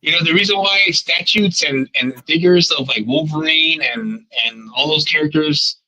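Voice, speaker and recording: A man talking through a head cold, his voice stuffy and a little husky. He rants emphatically and rambles in a casual podcast chat, with a bright, forceful edge to the sound. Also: on the high side, normal speed, poor audio. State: congested from a cold. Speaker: podcast co-host.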